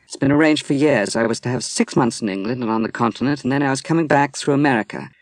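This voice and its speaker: A man voicing a young woman who is drunk, bitter and despairing, with a rising, shrill, emotional delivery. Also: expressive, fairly quick, no echo, studio quality, close to an everyday voice. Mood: angry.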